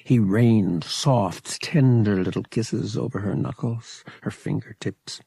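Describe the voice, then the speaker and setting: A man's voice: lowish voice, slow pace, creaky, mellow, husky, no room sound. A man narrating fiction as a scripted, dramatic audiobook reading.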